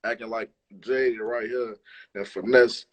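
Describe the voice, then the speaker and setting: A man's voice: medium pitch, steady tone, measured pace, crowd noise. A man chats casually as an interview guest, using heavy slang and profanity and repeating himself for emphasis. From Chicago, United States. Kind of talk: casual chat.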